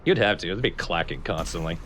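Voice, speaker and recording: A man's voice: sits low, animated, quick pace, a bit bright, faint music behind. A man co-commentating on a video game in casual chat, curious and bantering with the others. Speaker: co-commentator watching the gameplay. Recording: faint background noise.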